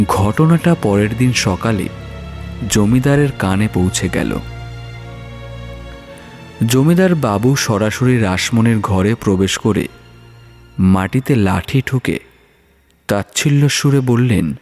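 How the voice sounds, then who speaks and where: A man narrates a horror tale in a dramatic, scripted style. His voice is fairly low and very unhurried, with soft music playing behind it. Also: lively intonation.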